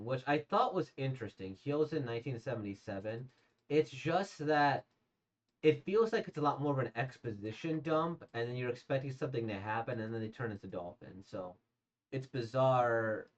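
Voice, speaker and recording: A hoarse, slightly congested man casually reacting to manga he has read, rambling with many fillers and restarts. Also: medium pitch. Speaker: manga reader and reviewer. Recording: dull lo-fi sound.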